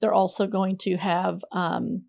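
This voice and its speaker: A woman's voice: medium pitch, level tone, unhurried, gravelly, darkish tone. A woman hosting a podcast in a casual, chatty way. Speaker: podcast host. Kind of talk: casual co-hosted podcast chat.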